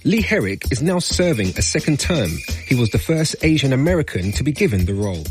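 A man's voice: medium pitch, sing-song, fairly quick, faint music behind. A man presenting and putting questions to guests as a radio interviewer in a studio. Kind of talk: broadcast interview questions and links.

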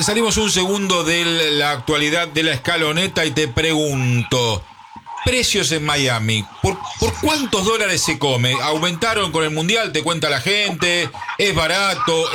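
Argentine man hosting radio, somewhat high voice. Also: background noise. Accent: Argentine Spanish. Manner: casual, quick questions, playful. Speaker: radio host.

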